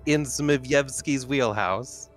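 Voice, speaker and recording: Slow-talking man at a game. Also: medium pitch, soft background music. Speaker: tabletop game player. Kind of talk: casual in-game banter.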